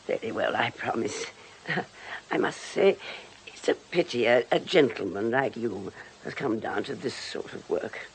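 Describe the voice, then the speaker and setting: A man's voice: medium pitch, animated, unhurried, a little hiss or hum, poor audio. A man voicing a fussy, genteel spinster in a radio drama, hesitant, prim and easily muddled. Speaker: performer in a radio detective drama.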